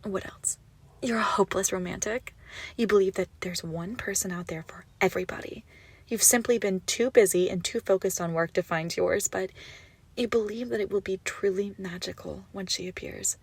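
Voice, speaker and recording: A woman playing an obsessive, gushing yandere kidnapper in a roleplay audio drama, menacingly sweet, with breathy, doting coos. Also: medium pitch, moderate pace. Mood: hushed, coaxing. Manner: breathy doting coos, often dropping to whispers. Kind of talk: roleplay audio drama, intimate monologue to listener.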